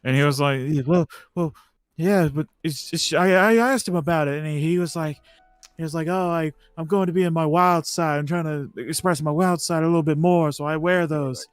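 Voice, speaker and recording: A young man telling a casual personal story as a guest, halting and repeating words as he quotes dialogue. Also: a little high. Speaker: livestream guest. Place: online voice chat on a livestream. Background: music playing behind the voice.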